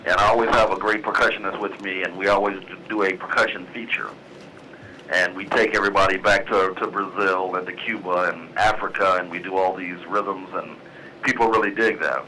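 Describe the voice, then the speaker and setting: A man, a jazz band leader, guesting on a radio talk show and speaking in a relaxed, easygoing way. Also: low voice, fairly quick, slight background noise, low-quality recording. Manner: relaxed, easygoing, many fillers. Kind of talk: casual radio interview.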